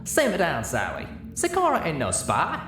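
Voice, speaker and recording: A man's voice: a little high, huge pitch swings, normal speed, music in the background, voice pushed up. A man voicing an earnest, protective country mare pony with folksy phrasing in a story reading. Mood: scolding. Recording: roomy, clearly noisy home recording.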